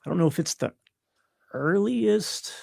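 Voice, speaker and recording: A middle-aged man chatting casually as an interview guest, thoughtful and halting as he searches for words. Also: high-pitched, animated, creaky, darkish tone, dry recording, clean studio sound. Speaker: interview guest, composer and audio engineer. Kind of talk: casual reflective chat.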